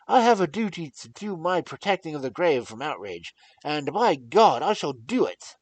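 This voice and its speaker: A reader voicing a passionate young nobleman in an audiobook, loud and outraged. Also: medium pitch, big pitch swings, moderate pace, no room sound, pitched above the performer's own voice, rough recording. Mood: furious. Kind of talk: audiobook character reading.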